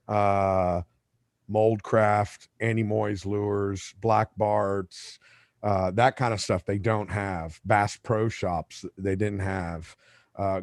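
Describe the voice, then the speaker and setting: Spanish-native guy hosting a podcast, rambly story w/ side tangents, lowish voice, dry sound. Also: dry recording. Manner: rambling, self-correcting, with asides. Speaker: podcast host. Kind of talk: casual storytelling.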